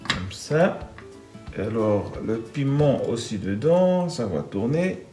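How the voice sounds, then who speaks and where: A man's voice: medium pitch, big pitch swings, slow, a little mellow, soft background music. A man from the Netherlands with a Dutch accent, speaking French, talking through a home cooking video with many hesitations and restarts. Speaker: home cook.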